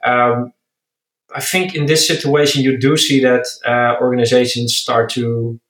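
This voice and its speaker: A man from the Netherlands with a Dutch accent, a guest answering interview questions in a relaxed way, thinking aloud as he goes. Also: medium pitch, a little slow. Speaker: guest, recruitment director. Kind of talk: casual interview talk. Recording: echoey room.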